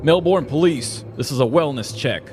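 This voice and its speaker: A man narrator voicing a serious, weary police sergeant with gruff authority in a comic story. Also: a little high, lively intonation, normal speed, heavy background noise, pitched above the performer's own voice, natural voice. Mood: stern. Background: background music.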